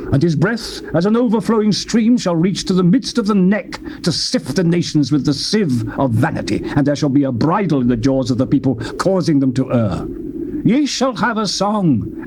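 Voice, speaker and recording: A British actor voicing an impassioned male prophet, declaiming scripture with wide swings between soaring and hushed lines. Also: a little high, lightly acted. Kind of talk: dramatized scripture reading. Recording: noisy recording with outdoor birdsong.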